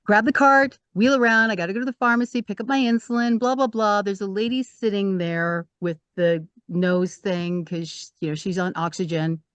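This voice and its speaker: A woman's voice: medium pitch, fairly even intonation, normal speed, few pauses, fairly bright tone, clear, resonant tone, no echo, muffled recording. A woman telling a casual everyday anecdote in a breezy, self-amused way. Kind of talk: casual storytelling.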